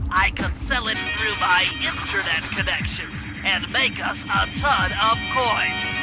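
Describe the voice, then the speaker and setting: A very high, nasal cartoon brainiac of a turtle, cautious and scholarly. He lays out game missions in precise, wordy sentences, with music playing behind him. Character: nerdy, cautious male turtle brainiac, young gadget-minded strategist. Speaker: mission planner and guide. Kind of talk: video game cutscene and tutorial voice acting.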